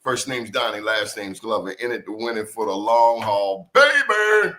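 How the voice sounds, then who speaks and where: A man's voice: mid-range voice, very animated, normal speed, gravelly, pushed and bright. A man hosting a talk show in a playful, bantering, streetwise style. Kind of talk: lively, bantering interview talk.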